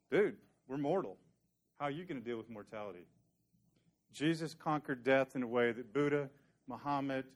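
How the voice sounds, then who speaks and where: Man preaching in an easy, conversational way. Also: medium pitch. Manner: earnest, conversational teaching with a dry joke. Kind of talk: sermon teaching.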